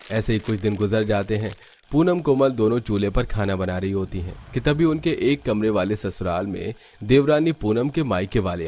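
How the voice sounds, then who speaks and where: A man with a low-pitched voice narrates a domestic tale. His delivery is scripted storytelling, presenting scenes of family life as the narrator. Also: darkish tone.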